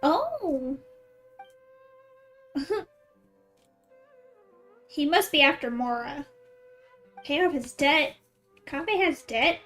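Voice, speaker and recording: A woman's voice: sits high, sing-song, drawn-out words, long pauses, a bit bright, soft background music. A woman giving casual, chatty gameplay commentary as a streamer, playfully reacting aloud and talking to herself. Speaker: video game streamer.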